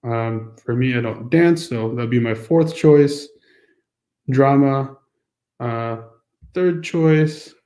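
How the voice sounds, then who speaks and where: A man's voice: mid-range voice, slow, mellow, reverberant. A man on a school's staff, with an American accent, walking through a course registration form in a casual, explanatory way with many fillers. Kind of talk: screen-recorded walkthrough.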